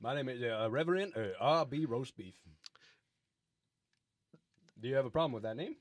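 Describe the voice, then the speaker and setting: A man playing a self-important, irascible reverend in a mock talk show, indignant and halting. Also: medium pitch, lively intonation, dry recording, close to an everyday voice. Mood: proud, touchy. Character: pompous, touchy, irascible reverend and author. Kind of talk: mock talk show interview, improvised comedy.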